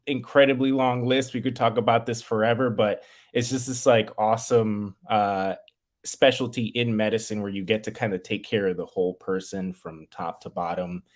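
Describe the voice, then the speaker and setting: A man in his thirties from New York City, a physician talking about his career in a friendly, eager way, often repeating words as he restarts. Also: on the low side. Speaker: infectious disease physician, guest. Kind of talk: casual interview.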